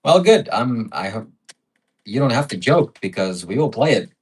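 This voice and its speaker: A man's voice: medium pitch, very animated, normal speed, breathy edge. A man hosting a casual livestream, thanking donors and stacking up adjectives as he chats. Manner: reads out donations and piles up adjectives. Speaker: livestream host.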